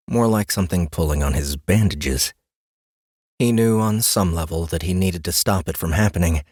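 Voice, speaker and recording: A male narrator reads a fantasy audiobook in a low voice. His storytelling is expressive and deliberate, and the studio sound is very dry, with no room around it. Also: slightly rough, no room sound.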